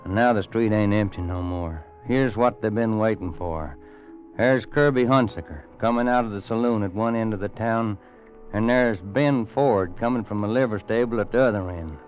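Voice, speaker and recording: An actor playing a weathered, plain-spoken old cowboy rancher with an American Western drawl and folksy rustic grammar in a radio western. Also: male voice, on the low side, normal speed, lightly acted. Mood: tense, ominous. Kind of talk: 1950s radio western acting and narration. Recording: muffled old radio recording with music.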